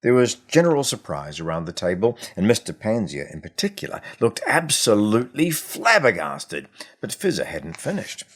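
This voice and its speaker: A man, an author reading a story aloud in an expressive storytelling style. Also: fairly low pitch, normal speed, breathy edge. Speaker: author reading from his own book.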